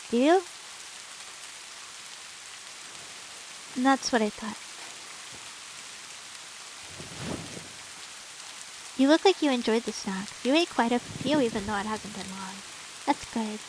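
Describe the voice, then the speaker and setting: Woman acting a high-voiced, teasing, doting anime champion. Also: slight background noise, natural voice, poor audio. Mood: coy, doting.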